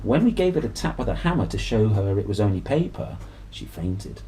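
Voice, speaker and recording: Animated man reading a children's story. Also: fairly low pitch, fairly quick, slight background noise, rough recording. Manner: expressive, dramatic emphasis with playful asides. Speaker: storybook reader.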